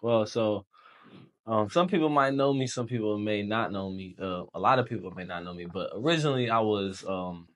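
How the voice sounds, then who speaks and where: A young man from Haiti, a Creole speaker, telling his story as a podcast guest in relaxed slangy chat. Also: lowish voice, moderate pace. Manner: relaxed storytelling, slangy, says bro often. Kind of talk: casual chat.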